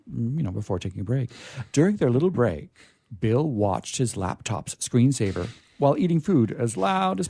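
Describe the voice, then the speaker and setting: A man hosting a radio show, reading stories aloud in a theatrical, deliberate, emphatic way with casual asides. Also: medium pitch, vocal fry, a little mellow, dry recording.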